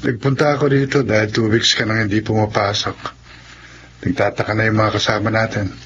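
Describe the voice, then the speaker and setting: A man's voice: lowish voice, level tone, brisk, a bit bright, slight background noise, close to an everyday voice. A man playing a concerned, easily flustered young Filipino friend, talking colloquially in a muffled radio drama.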